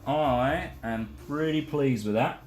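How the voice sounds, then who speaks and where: A man's voice: mid-range voice, takes it slowly, darkish tone. A man chatting casually on a live stream while painting and building a case, rambling and self-deprecating as he works. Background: faint music.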